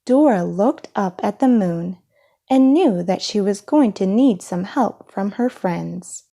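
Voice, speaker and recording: Unhurried, mellow woman reading a storybook. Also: medium pitch, animated, creaky. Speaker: storybook reader. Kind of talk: read-aloud children's story narration.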